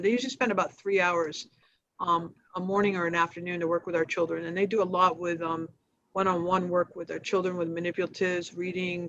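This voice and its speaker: Woman nonprofit boss answering questions, kinda halting n matter-of-fact, muffled livestream sound. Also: on the low side. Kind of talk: informal spoken presentation on a video call. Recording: dull, lo-fi livestream audio.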